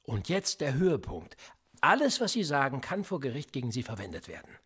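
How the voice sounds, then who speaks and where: An actor playing a cynical, sly police interrogator in a radio play, with an insinuating, coolly threatening manner. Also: male voice, medium pitch, huge pitch swings, brisk, fairly bright tone, breathy edge, heavily acted. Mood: mocking, pressing. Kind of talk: naturalistic German radio play acting.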